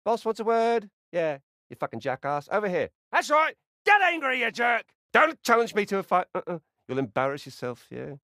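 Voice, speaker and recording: A man voicing a rude, sarcastic, foul-mouthed squirrel spirit in a video game, swinging between muttered asides and brash yells. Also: high voice, very animated, normal speed, hardly any pauses, dry recording, clean studio sound, exaggerated voice. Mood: angry, taunting. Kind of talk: video game character acting.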